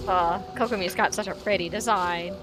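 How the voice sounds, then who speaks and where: A woman's voice: on the low side, fairly even intonation, moderate pace, rough recording. Casual woman streamer over game music. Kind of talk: casual livestream reactions over gameplay.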